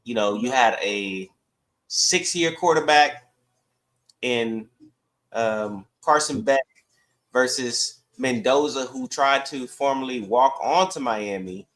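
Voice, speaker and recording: Medium-pitched man chatting about sports. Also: slow pace, a bit bright, poor audio. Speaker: sports talk podcast co-host. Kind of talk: casual sports chat.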